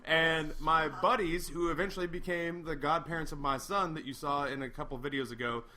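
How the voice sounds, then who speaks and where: A man's voice: fairly high pitch, steady tone, brisk, pushed and bright. A young man, drunk, rambling through a profane, self-mocking story among friends around an outdoor fire. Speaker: storyteller host. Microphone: laptop mic.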